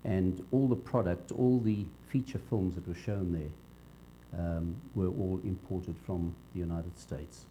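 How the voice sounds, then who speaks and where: Older South African man, very muffled deep voice. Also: steady tone, takes it slowly, dark tone. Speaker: interviewee, veteran cinema cameraman. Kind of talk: on-camera reminiscence. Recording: dull low hum, faint background noise.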